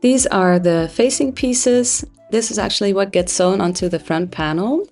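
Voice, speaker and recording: Woman, Dutch first language, sewing tutorial, calm explanations, gravelly voice, soft background music. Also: medium pitch, moderate pace. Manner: calm, explanatory, with frequent "uh" fillers. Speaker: pattern designer.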